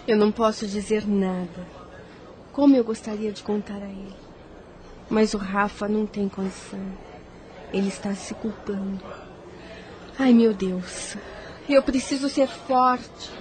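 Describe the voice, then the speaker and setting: A woman's voice: mid-range voice, steady tone, measured pace, pauses often, a little mellow, a little hiss or hum, lightly acted. A woman playing a caring, troubled young woman trying to stay strong in a Brazilian radio soap, with a Brazilian accent. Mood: anguished. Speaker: radio drama actress.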